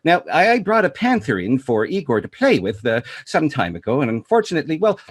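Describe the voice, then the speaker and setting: A man voicing a genial, animal-loving vet, earnest and rambling, with a gentle, hesitant manner. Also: on the high side, very animated, brisk, slightly rough, no echo, studio quality.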